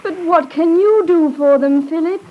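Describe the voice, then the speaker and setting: A woman's voice: very high-pitched, moderate pace, lo-fi sound. An actress playing a devoted, anxious young country woman in a 1950s radio play, speaking in a pleading way. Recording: vintage radio recording with faint noise.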